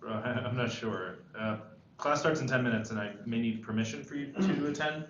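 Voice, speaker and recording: A man's voice: medium pitch, moderate pace, fairly bright tone, close to an everyday voice. Man reading as hesitant idealistic senior, echoey room. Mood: hesitant, hopeful. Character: idealistic, hesitant high school senior boy, well-meaning. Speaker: actor at a screenplay table read. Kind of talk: screenplay table read acting. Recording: echoey room, dull lo-fi, faint noise.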